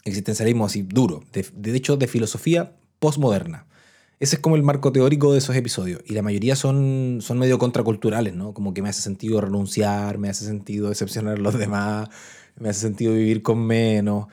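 Chilean guy hosting his podcast, warm mellow voice, chatty, keeps restarting his sentences. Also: fairly low pitch, steady tone, fairly quick. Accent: Chilean Spanish. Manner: chatty, restarts phrases, reads out listener messages. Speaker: podcast host. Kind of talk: casual podcast talk.

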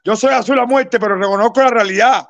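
Teasing man with a high voice. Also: quick pace, vocal fry, no room sound. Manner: loud and teasing, repeats names to interrupt. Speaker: panelist. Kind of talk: heated baseball debate. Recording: dull lo-fi sound.

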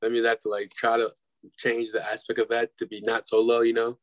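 A man's voice: mid-range voice, monotone, fairly quick, no room sound. A man in his twenties from Milwaukee, a dubstep producer talking easily as an interview guest, with casual slang and loose laughter. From Milwaukee, Wisconsin, United States. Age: 20s. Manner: laid-back, says "bro", laughs between phrases.

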